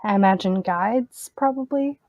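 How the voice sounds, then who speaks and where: A woman's voice: mid-range voice, moderate pace, darkish tone. A woman on community staff, reading out and answering questions casually, joking between answers. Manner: casual, joking, with light laughs.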